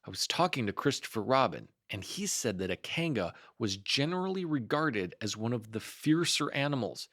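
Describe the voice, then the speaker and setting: Man doing a small timid piglet, animated. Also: mid-range voice, moderate pace, hardly any pauses, dry recording, clean studio sound. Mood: fidgety. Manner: nervous, fretful.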